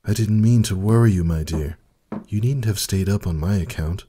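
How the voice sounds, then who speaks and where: A man voicing a wise, weary old male pony in a storybook reading, speaking softly in a husky, measured, formal way. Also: low-pitched, slight background noise, voice pushed up, natural voice. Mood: gentle, tender. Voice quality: husky, soft, dark.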